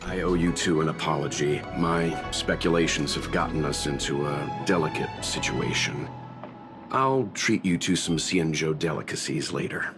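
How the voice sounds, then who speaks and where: A man voicing a calm, thoughtful gentleman mentor in a video game, speaking in a measured, courteous and serious way. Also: rumbling low, over music. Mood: apologetic. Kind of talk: video game English dub acting.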